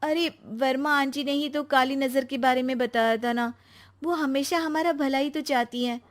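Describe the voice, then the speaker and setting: A woman's voice: sits high, steady tone, normal speed, pitched above the performer's own voice, natural voice. A woman voicing an emotional young married woman who argues plaintively in a cartoon story. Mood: upset, defensive.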